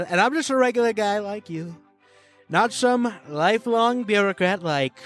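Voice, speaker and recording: A boastful populist candidate, played by a man, speaks in a high voice over music. He rambles through folksy, repetitive asides as in a parody campaign ad. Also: a bit bright. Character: bragging, rambling male populist politician running for president.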